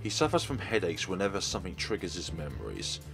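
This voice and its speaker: A man with a lowish voice reads a visual novel's text aloud as a let's play host, rising and falling a lot in pitch. He breaks off now and then for casual asides, with soft game music playing behind him. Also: wildly swooping pitch, fast talker, gravelly. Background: faint game music.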